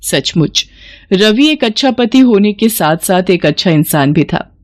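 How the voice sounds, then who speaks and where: A woman's voice: mid-range voice, lively intonation, measured pace, no echo, studio quality. A woman giving scripted narration for a family moral story.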